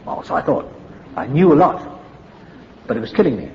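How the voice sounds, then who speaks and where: A man's voice: a little high, big pitch swings, normal speed, highs cut off, a little hiss or hum. Older Welsh man telling his story. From Wales. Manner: reflective, candid, wry storytelling. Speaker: AA meeting speaker. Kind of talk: personal recovery story at a meeting.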